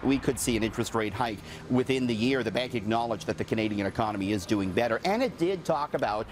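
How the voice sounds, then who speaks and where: A man in his thirties delivers a business segment from a radio newsroom, his pitch in a middle range. His manner is chatty and easy, as if bantering with a co-anchor, with faint studio noise behind him. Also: expressive, fast talker. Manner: chatty, joking banter between reports. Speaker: business editor.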